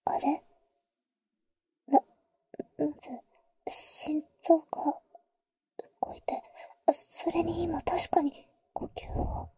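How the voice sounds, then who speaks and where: Woman acting breathy, high-voiced big-sister vampire. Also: steady tone, mellow, no room sound, full character voice. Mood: shaken, urgent. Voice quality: breathy, whispered. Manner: halting, murmured, blunt boyish phrasing. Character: protective, tsundere older-sister female vampire, tenderly devoted. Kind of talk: Japanese ASMR roleplay, intimate whispering. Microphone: very close, dry ASMR microphone.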